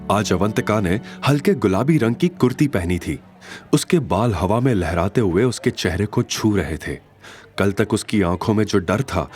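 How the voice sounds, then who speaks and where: A man's voice: on the low side, darkish tone. A man reading a dramatic audio story as narrator, telling it expressively.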